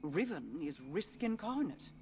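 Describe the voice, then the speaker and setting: A woman playing a grave, wary queen in a video game, speaking in a measured, solemn and commanding way. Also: on the low side, big pitch swings, warm tone, a little hiss or hum. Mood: resolved, dry. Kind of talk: scripted video game character acting.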